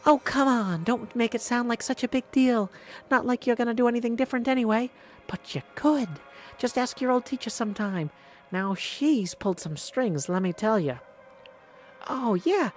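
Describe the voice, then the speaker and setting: A man's voice: extremely high pitch, animated, normal speed, soft background music, pitched above the performer's own voice. A man voicing a breezy, folksy goddess of fate from a video game, chummy and offhand. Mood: chummy, dismissive. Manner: offhand, chummy, calls listener sweetie. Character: breezy, folksy female Fates, casual elder goddesses. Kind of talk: video game character practice.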